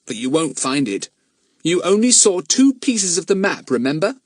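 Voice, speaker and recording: Boy hero for a storybook, played by a man: plucky, indignant, earnest, pitched up and very lively. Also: moderate pace, no echo, clean studio sound. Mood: uneasy defiance. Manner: protesting, earnest, high-lifted delivery. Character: plucky, indignant boy adventurer standing up for himself. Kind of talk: audiobook character voice.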